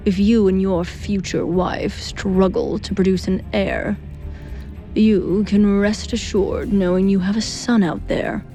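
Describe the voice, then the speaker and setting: A woman narrator plays an older king, haughty and dismissive. The speech is slow and cold, audio drama style, with faint music behind. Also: medium pitch, creaky, dark tone.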